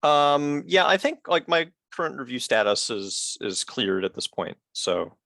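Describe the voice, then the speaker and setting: A man's voice: mid-range voice, very animated, unhurried, creaky, bright, forceful tone, no echo, studio quality. A man making a casual, tentative comment in an online work meeting, hedging as he goes. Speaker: committee member. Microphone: video call.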